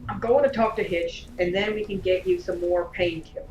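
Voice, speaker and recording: An actress in an audio drama playing a firm comrade who placates a wounded soldier. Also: female voice, fairly low pitch, fairly even intonation, a little fast, noisy background, natural voice. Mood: firm, placating. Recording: echoey room, faint music.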